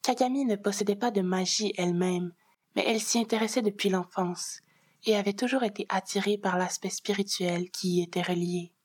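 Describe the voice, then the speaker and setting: A woman's voice: medium pitch, steady tone, fairly quick, a little mellow. A woman reading her own written story aloud as a scripted narration. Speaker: author and narrator.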